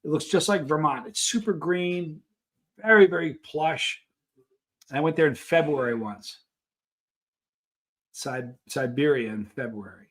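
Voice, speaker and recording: Middle-aged male streamer, voice a little on the high side, informal chat with viewers, animated anecdote teller. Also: a little slow, pauses often. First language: English. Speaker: live stream host. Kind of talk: casual chat answering live viewers, telling travel anecdotes.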